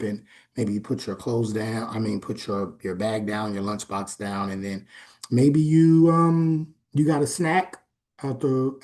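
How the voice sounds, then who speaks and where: A man giving a recorded class lecture in a conversational way, often checking in with "right?" and pausing on "um". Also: medium pitch, a little mellow. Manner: conversational, frequent "right?" check-ins and "um" pauses.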